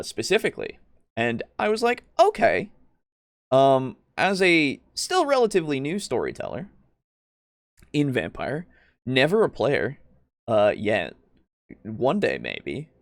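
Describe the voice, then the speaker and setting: A man hosting a casual tabletop gaming livestream, talking in a halting way with jokey, self-deprecating asides. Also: medium pitch, dry recording. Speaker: host of a tabletop roleplaying livestream.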